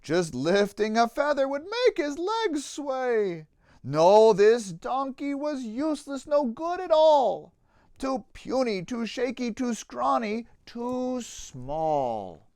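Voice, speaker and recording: A man reads a rhyming children's storybook in a sing-song, dramatic way. His pace is slow and his pitch moves freely up and down, in a close, dry recording. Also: big pitch swings, darkish tone, dry recording. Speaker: pastor reading a story to children. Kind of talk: expressive rhyming storybook reading.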